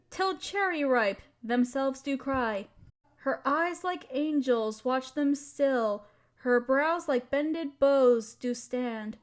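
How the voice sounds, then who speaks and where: Romantic audio roleplay: affectionate teasing wife played by a woman, high voice, slow tender delivery for poetry and sweet talk. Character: affectionate, teasing wife sharing love poems with her partner.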